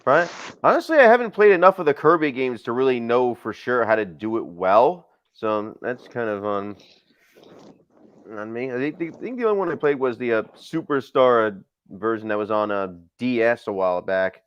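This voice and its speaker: A man's voice: medium pitch, expressive, moderate pace, clear, resonant tone, no room sound. A man chatting casually as a stream host on an online voice call. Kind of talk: casual chat. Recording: dry, dull online call audio.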